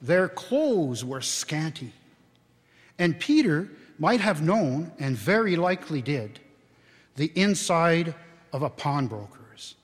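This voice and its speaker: A man giving a theatrical, expressive live storybook narration in a church. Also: on the high side, takes it slowly, breathy edge. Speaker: dramatic reader. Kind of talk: scripted storybook narration before an audience. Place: inside a church, live reading. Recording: church hall with long reverb.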